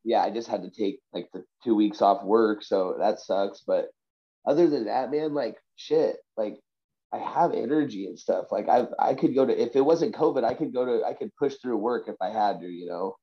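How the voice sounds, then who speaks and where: Congested dude from vancouver telling personal stories, lowish voice, kinda muffled lo-fi audio. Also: male voice, moderate pace. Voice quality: congested, plugged-up nose. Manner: slangy, says bro, dude and you know. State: sick with a stuffy cold from covid. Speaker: podcast guest, hobby rapper and poet. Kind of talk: casual chat, personal storytelling.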